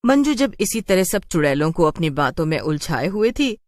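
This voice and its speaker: A woman storyteller reads a scripted horror cartoon tale in a low-pitched voice. The recording is dry and close, with little room sound. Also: very animated, normal speed, no room sound. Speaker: story narrator.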